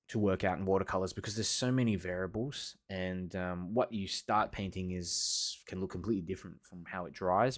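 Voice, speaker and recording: A man teaching watercolour on a live stream, chatting casually with viewers in an encouraging way and pausing often. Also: deep voice. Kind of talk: casual livestream chat reading and answering viewer comments.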